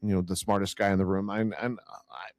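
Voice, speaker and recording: A man's voice: deep voice, level tone, quick pace, dry recording. Earnest growly-voiced man, dry close sound. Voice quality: growly, loud. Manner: earnest, repeats words while searching. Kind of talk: casual faith conversation.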